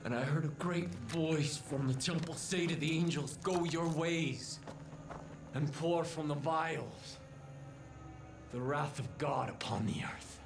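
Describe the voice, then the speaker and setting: Casting: fervent cult prophet for a game, solemn and righteous, slow delivery, echoey sound under loud music. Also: male voice, a little high, creaky. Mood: solemn prophecy. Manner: solemn, deliberate, preaching delivery. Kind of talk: dramatic sermon-like video game acting.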